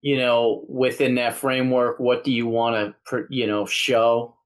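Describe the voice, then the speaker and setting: A man in his fifties hosting a casual chat, joking and throwing in quick quips. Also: medium pitch, level tone. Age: 50s. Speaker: host.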